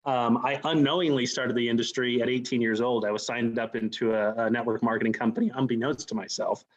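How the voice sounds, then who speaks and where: A man's voice: medium pitch, steady tone, fast talker. Man telling his story, dull lo-fi sound. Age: 40s. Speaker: company president, guest speaker. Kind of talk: casual personal storytelling.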